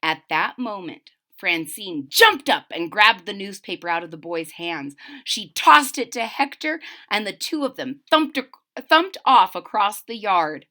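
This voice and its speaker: A woman reading a picture book aloud to children in a dramatic, animated way, stopping to explain things. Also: medium pitch, a little slow. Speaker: children's story reader. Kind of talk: expressive read-aloud with explanatory asides to children.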